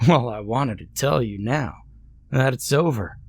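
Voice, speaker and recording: A man voicing a mocking ancient god who is part devil, with a deep, flat voice. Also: unhurried, creaky, dry recording. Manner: turns maniacal and wicked. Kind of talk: storytelling with acted dialogue.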